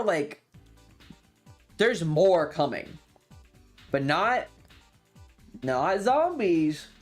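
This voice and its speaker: A streamer telling a tabletop game story casually and animatedly, with frequent swearing. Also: mid-range voice. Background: background music.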